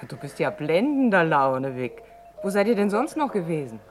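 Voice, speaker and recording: A woman's voice: fairly low pitch, very animated, normal speed, soft background music. An actress playing a shrewd, teasing woman who keeps a bar, knowing in manner, in a 1960s German radio crime drama.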